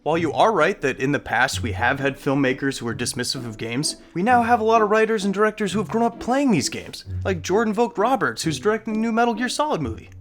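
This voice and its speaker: A man playing an enthusiastic gamer buddy, young, easygoing, eager, lively swooping pitch, comedy sketch. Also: quick pace, few pauses. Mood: thoughtful. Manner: eager, exclamatory. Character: enthusiastic, easygoing male video game fan and friend. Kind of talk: scripted comedic dialogue.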